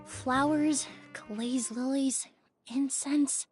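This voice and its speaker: An actress playing a composed, analytical young woman in a video game, earnest and measured in delivery. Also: high-pitched, fairly even intonation, music in the background. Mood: pensive. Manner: measured, reflective, polite.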